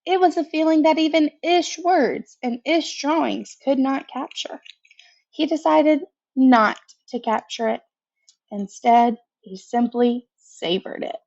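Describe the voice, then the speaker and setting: A woman reading a picture book aloud to children in an expressive, conversational way, pausing for casual asides of her own. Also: high-pitched, vocal fry, muffled recording. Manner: expressive, conversational, pauses to comment.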